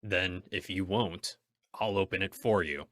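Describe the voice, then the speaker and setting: A man playing a cool, self-possessed masked vigilante in an old-time radio drama, speaking with deliberate, taunting control. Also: deep voice, a bit bright, no room sound, studio quality. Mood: cool, probing.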